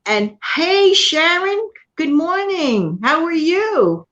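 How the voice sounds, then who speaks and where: A woman's voice: extremely high pitch, sing-song, slow, fairly bright tone, highs cut off. A middle-aged woman hosting her channel in casual chat, rambling and laughing often. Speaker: channel host. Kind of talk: casual live-stream chat.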